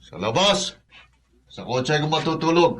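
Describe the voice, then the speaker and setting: A man's voice: on the high side, lively intonation, moderate pace, fairly bright tone. An actor playing an earnest, steadfast, God-fearing father in a sincere Filipino radio drama style. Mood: firm, indignant.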